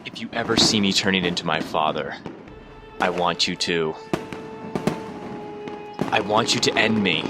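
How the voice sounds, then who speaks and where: A troubled young ruler from a cartoon dub, solemn and weighed down by duty, acted by a man. The low voice speaks gravely and haltingly over clear music. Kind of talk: animated comic dub acting.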